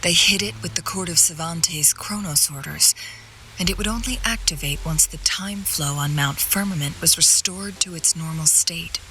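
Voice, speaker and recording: Game strategist, composed and courteous, lowish voice, crisp bright edge, measured formal delivery. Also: female voice, a little hiss or hum, low-quality recording. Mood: composed, explanatory. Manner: poised, measured, formal storytelling. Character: composed, courteous female strategist and former disciple, gently teasing. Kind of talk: video game story dialogue.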